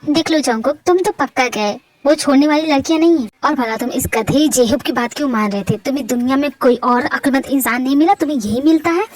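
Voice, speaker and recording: A sharp-tongued young man, teasing and scolding a friend in a storybook reading as a woman voices him. The lines come as mocking banter in a lowered voice. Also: quick pace, lightly acted.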